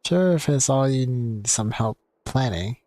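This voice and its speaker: A woman's voice: extremely low pitch, expressive, a little slow, warm tone, no room sound. A woman game streamer casually reading out dialogue choices and commenting as she plays.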